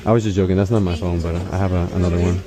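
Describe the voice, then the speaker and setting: Stammering lower-voiced man flirting. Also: steady tone, very fast. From Miami. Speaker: man approaching a woman on the street. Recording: street recording with crowd noise. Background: busy crowd.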